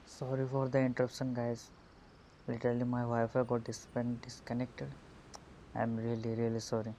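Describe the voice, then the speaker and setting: A man's voice: medium pitch, completely flat delivery, moderate pace, mellow, a little hiss or hum. A man giving casual, hesitant gameplay commentary as a streamer, his voice murky through an earphone mic. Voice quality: murky, muffled. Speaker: gaming streamer. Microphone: earphone mic on a phone.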